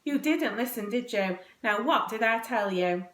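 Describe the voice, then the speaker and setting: A woman's voice: on the high side, lively intonation, measured pace, a bit bright, pitched above the performer's own voice. A woman voicing an exasperated mother of naughty boys in a children's story, calling out and scolding. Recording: roomy, echoing indoor recording.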